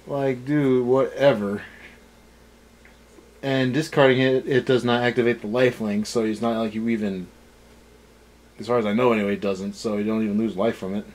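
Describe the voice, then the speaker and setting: A man's voice: medium pitch, steady tone, moderate pace, pauses often, darkish tone, poor audio. A man in a casual, opinionated discussion as a review co-host, blunt and dismissive in his ranting. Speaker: co-host of a card game anime review.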